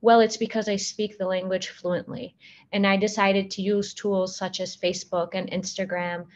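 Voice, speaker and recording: A woman in her thirties whose first language is Arabic, earnestly giving a talk on addiction over a video call, often pausing on "um". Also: medium pitch, level tone. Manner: earnest, with frequent um fillers. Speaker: guest speaker on addiction. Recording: slightly muffled video call audio.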